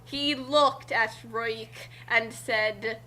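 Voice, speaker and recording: High-pitched, bright-voiced woman, excitable and rambling. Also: drawn-out words. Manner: rambling, excitable, stumbling over words. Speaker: co-host reading fan fiction aloud. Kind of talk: casual chat and comedic read-aloud of fan fiction.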